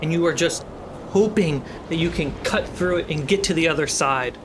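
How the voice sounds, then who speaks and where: A game master narrating a tabletop adventure dramatically, with deliberate, suspenseful pauses. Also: medium pitch, noisy background.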